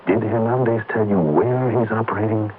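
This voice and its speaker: An actor playing an urgent, persistent American insurance investigator in a classic radio detective drama. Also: male voice, lowish voice, fairly quick, faint music behind, close to an everyday voice. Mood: urgent, soothing. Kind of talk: 1950s radio detective acting. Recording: noisy, tinny old radio recording.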